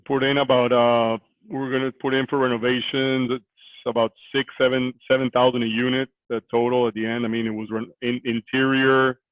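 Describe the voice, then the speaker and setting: A man in his forties from Costa Rica, a real estate investor talking on a video call from a small office, halting with many fillers. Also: mid-range voice, little pitch movement, no room sound. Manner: hesitant, many fillers and restarts. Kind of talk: conversational interview. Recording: tinny phone-like line.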